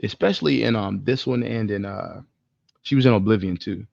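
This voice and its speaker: Male podcast co-host: creaky voice, slangy, profane, opinionated, slightly dull but dry recording. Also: on the low side, moderate pace, a little mellow, no room sound. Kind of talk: casual film discussion.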